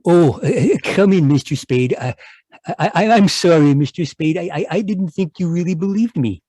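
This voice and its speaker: A man's voice: a little high, animated, normal speed, warm tone, dry recording, studio quality. An actor playing a timid, apologetic, world-weary man of about fifty in a radio mystery, hesitant and stammering. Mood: nervous. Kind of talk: radio mystery drama acting. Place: live remote performance over video call.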